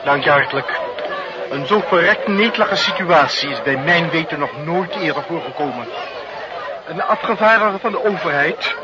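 A man's voice: fairly high pitch, animated, moderate pace, a loud crowd, lightly acted. A man acting a pompous, flustered senior official in a Dutch radio play, blustering and then dithering. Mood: indignant. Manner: blustering, hesitant, rhetorical. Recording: muffled, noisy old radio play.

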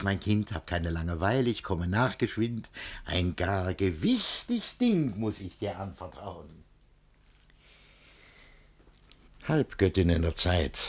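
A pompous gentleman, wildly animated delivery. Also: on the low side. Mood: self-satisfied. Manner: theatrical rhymed verse delivery. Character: pompous, scheming middle-aged gentleman, jovial and domineering. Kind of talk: German radio play of verse comedy.

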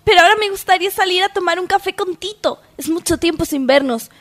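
A woman's voice: extremely high pitch, quick pace, fairly bright tone. A woman playing a sweet, apologetic, girlish young daughter in a parody radio soap. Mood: affectionate.

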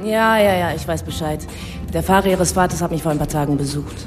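A woman's voice: sits low, brisk, lightly acted. A woman playing a curt, guarded prostitute in a radio drama, offhand and evasive. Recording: reverberant club scene, music underneath.

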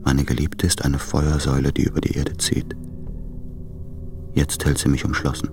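An actor playing a brooding, self-doubting lover in a radio play, with measured, introspective delivery. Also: male voice, extremely low pitch, flat delivery. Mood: melancholy. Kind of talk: literary German radio play monologue.

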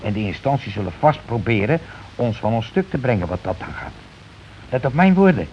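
A man's voice: medium pitch, big pitch swings, brisk, natural voice. A man acting in a radio play as a bossy, blustering working-class husband who argues colloquially and keeps demanding agreement. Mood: self-important, insistent. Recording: dull, noisy old radio recording.

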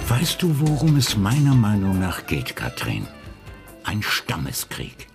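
An actor in a radio crime drama playing a wry detective husband who muses in a deliberate, playful manner. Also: male voice, fairly low pitch, huge pitch swings, music in the background, full character voice. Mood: musing, earnest.

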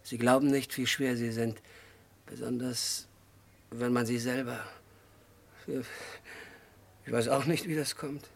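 An actor playing a deranged, childlike, lovesick murderer in a German radio crime drama, confessing in halting, simple sentences. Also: male voice, medium pitch, steady tone, creaky. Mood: haunted, brooding. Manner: halting, brooding monologue in short simple sentences.

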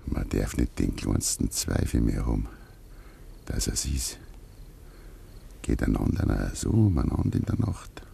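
An actor playing a brooding old Bavarian farmer and father in a radio play, with a gravelly, breathy voice and a hushed, conspiratorial way of talking. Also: extremely low pitch, sing-song, measured pace, a little hiss or hum, full character voice. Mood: hushed, grim. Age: older. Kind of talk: 1950s dialect radio play acting.

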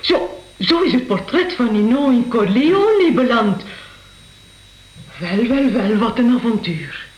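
Radio play role: intense, otherworldly lady, slow theatrical delivery in an echoing room. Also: fairly high pitch, lively intonation, slight background noise. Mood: wondering. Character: mysterious, intense lady of a castle, believer in spirits. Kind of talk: radio drama acting.

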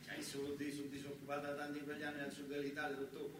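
A man with a southern Italian accent performing a comic, colloquial stage monologue as a factory worker, animated and repeating words for effect. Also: a little high, racing. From southern Italy. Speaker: stage actor and storyteller. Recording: echoey hall, distant mic, noisy background.

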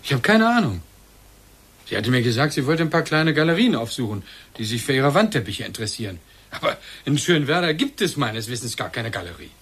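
Probing husband with swooping intonation. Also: male voice, medium pitch, big pitch swings, a bit bright, slightly rough. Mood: measured, uneasy. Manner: deliberate, pressing. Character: suspicious husband, insistent, probing, coldly accusing. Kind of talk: German radio play acting.

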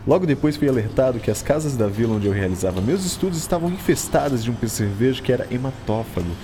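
Guy acting brazilian scientist from 1900s radio play, very animated, polite teacher type, noisy background. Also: mid-range voice, quick pace, natural voice. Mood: eager explaining. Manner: formal, didactic, courteous. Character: modest, enthusiastic early-1900s male scientist explaining discoveries. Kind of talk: educational radio drama acting. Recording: noisy ambience behind studio radio drama.

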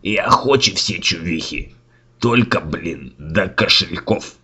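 A man voicing a fairy-tale villain as a mercenary, gruff street dealer, curt and slangy, in comic rhyme. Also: low-pitched, expressive, takes it slowly, sharp tone, full character voice. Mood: dismissive.

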